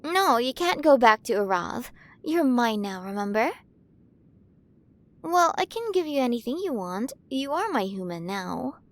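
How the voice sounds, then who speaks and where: Woman playing a curious, high-voiced alien queen. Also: very animated, a little slow. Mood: delighted, possessive. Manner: playful, wondering, gently condescending. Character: curious, possessive alien queen, ancient, doting on her human. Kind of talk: ASMR roleplay, acted monologue to the listener.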